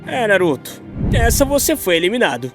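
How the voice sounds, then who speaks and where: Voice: mid-range voice, sing-song, fairly quick, music in the background. An actor voicing a brash, mocking young male anime hothead in a parody debate, taunting and exclamatory. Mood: mocking, dramatic.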